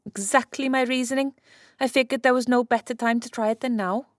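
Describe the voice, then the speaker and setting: A woman's voice: on the high side, steady tone, brisk, no room sound, studio quality, lightly acted. A woman playing Santa's centuries-old wife, a practical, exasperated but caring inventor, matter-of-fact and bossy in an audiobook.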